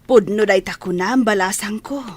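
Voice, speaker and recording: A woman's voice: mid-range voice, normal speed, a little hiss or hum, natural voice. A woman playing a kindly, grateful older fish seller in an audio drama, with an Ilocano Filipino accent.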